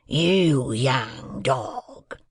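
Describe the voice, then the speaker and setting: Storybook villain, played by a male reader: rough convict, gravelly, slow, menacing, rustic dialect. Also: medium pitch, animated, no echo, studio quality, exaggerated voice. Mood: hungry, threatening. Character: menacing, ragged, growling escaped convict, rough man.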